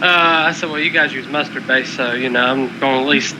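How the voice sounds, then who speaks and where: A man's voice: mid-range voice, fairly quick. Rambling North Carolina man, noisy chat. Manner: rambling anecdotes with fillers. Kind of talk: casual storytelling chat.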